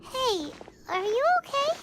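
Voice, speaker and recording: A woman voicing a tiny, excitable fairy companion in a video game, squeaky and childlike, with music behind her. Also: slow pace, very noisy, heavily acted. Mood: concerned. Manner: exclamatory, earnest.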